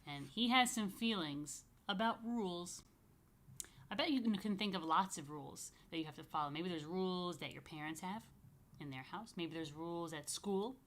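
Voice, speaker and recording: A woman's voice: lowish voice, normal speed, a bit bright, a little hiss or hum. A woman rabbi doing a read-aloud storytime for young children, conversational, with explanatory asides.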